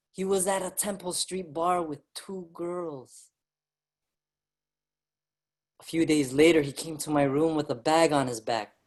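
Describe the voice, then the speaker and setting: A man's voice: on the high side, a little mellow, lightly acted. An actor playing an earnest immigrant writer, a man in a dramatic staged reading. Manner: reflective storytelling, pleading when alarmed. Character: Allos, earnest, ailing Filipino immigrant writer, younger brother. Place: online virtual staged reading.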